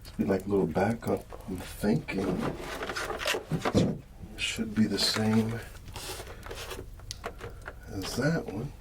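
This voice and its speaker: A middle-aged man hosting an ASMR video, rambling casually and softly with a hesitant delivery. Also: lowish voice, slow, pauses often, very noisy. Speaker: ASMR channel host.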